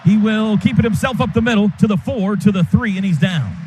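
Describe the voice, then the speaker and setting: A man doing live radio play-by-play of a football game, quick clipped calls that build to shouts on big plays. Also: high-pitched, soft background music, poor audio. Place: stadium radio booth. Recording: radio broadcast with loud crowd noise.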